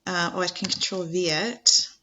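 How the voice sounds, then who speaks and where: A woman teacher talking students through a screen-share software tutorial in a chatty, encouraging way. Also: on the low side, little pitch movement, normal speed.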